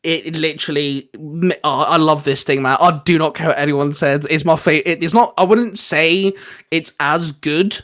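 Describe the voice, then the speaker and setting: Higher-voiced man, enthusiastic casual chat. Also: steady tone, moderate pace, a bit bright. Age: adult, father of a young son. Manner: enthusiastic, opinionated, stumbles over words. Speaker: co-host of a fan countdown video.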